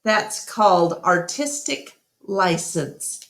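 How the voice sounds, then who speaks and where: A woman's voice: fairly low pitch, lively intonation, slow pace, fairly bright tone, heavily acted. A woman voicing a small, sleepy, matter-of-fact male dog in a children's storybook. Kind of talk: children's storybook read-aloud.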